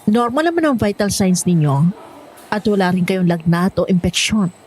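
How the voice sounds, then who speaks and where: A woman playing a calm, formal, reassuring hospital doctor in an audio drama. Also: on the low side, moderate pace. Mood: calm, professional. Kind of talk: acted horror audio drama.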